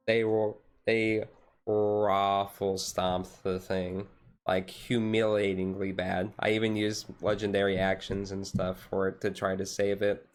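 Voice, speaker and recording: A man chatting casually on a relaxed livestream in a rambling, easygoing way. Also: on the low side. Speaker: streamer, tabletop game master. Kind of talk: casual chat on a relaxed ASMR-style livestream.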